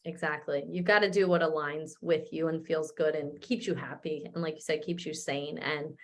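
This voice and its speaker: A woman in her thirties, a wellness coach, talking in a relaxed, casual way with lots of fillers. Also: low-pitched, moderate pace. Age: 30s. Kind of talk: casual chat.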